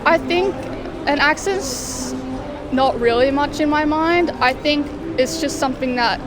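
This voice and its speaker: A woman's voice: sits high, unhurried. A young Australian woman with an Australian accent, chatting casually and easily in an outdoor street interview. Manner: relaxed, with lots of "like" and "yeah". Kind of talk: casual chat. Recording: street noise behind.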